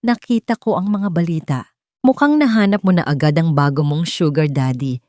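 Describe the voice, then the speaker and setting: A woman's voice: sits low, moderate pace, a little mellow, dry recording, studio quality, lightly acted. Woman voicing a sneering, sarcastic male ex. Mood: mocking. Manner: taunting, sarcastic. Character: menacing, mocking male ex-lover, smug and sarcastic.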